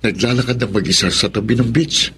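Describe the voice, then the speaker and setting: A man's voice: medium pitch, fairly quick, a bit bright, close to an everyday voice. An actor in a Tagalog radio drama playing a chummy male friend who teases and prods. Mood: prodding. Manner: chummy, teasing, prodding. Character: teasing, encouraging male friend of a lovesick man. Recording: crowd chatter behind.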